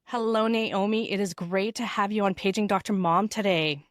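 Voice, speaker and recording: A woman's voice: mid-range voice, moderate pace, no room sound, clean studio sound. A woman hosting an interview podcast, moving between scripted introduction and conversational talk.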